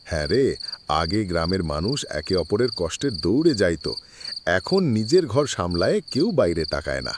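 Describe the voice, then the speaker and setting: A woman's voice: very deep voice, sing-song, moderate pace. A woman voicing a friendly, down-to-earth village landlord in a cartoon, lamenting in a rustic way, over background noise. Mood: sombre, friendly.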